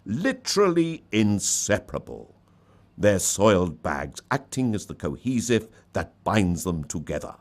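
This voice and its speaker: Male story reader, low register, very animated swings, theatrical and mock-solemn. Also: a little slow, slight background noise. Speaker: storyteller reading own short story. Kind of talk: dramatic scripted story narration.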